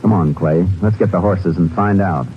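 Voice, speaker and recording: Steady Ranger lead, vintage radio western, male actor: lowish pitch, terse, matter-of-fact, muffled broadcast sound. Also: normal speed, lightly acted. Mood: alert, reasoning. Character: steady, observant Texas Ranger tracker and narrator. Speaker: lead lawman who also narrates. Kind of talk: old-time radio western acting. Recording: muffled old radio broadcast, loud music.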